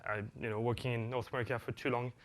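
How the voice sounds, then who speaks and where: A man's voice: mid-range voice, level tone, rapid-fire. A man whose first language is French, giving a conference talk as an executive producer, with many fillers and restarts.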